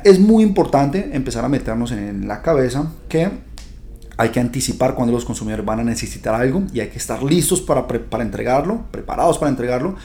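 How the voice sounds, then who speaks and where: A man's voice: medium pitch, vocal fry, dark tone, slight background noise. A man hosting a podcast, talking to his listeners in a chatty, upbeat, direct way. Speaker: podcast host. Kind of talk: casual podcast monologue.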